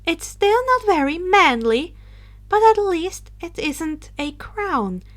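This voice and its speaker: A woman's voice: very high voice, big pitch swings, takes it slowly, a bit bright, voice pushed up, full character voice. A woman voicing a playful, eccentric teenage girl witch for a story, airy and teasing in manner. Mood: teasing, reassuring.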